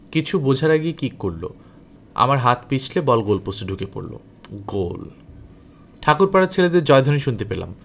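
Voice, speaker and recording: A man reading a novel aloud as an audiobook narrator, in an expressive, dramatic storytelling style. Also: medium pitch.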